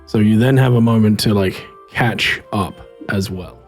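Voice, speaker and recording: A man running a tabletop roleplaying game as game master, explaining and narrating in hesitant, halting phrases. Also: lowish voice, music in the background.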